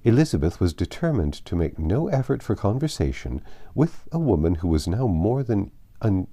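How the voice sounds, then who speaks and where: A man narrating a classic novel as an audiobook, with expressive, deliberate, theatrical phrasing. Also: fairly low pitch.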